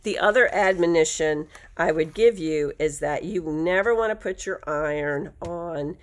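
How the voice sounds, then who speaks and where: A woman's voice: low voice, a little slow. A woman with a nasal voice, chatty and rambling, teaching a quilting craft at her sewing table. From United States. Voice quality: nasal from allergies. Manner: chatty, rambling asides, self-correcting. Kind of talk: casual craft tutorial. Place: home sewing table, indoors.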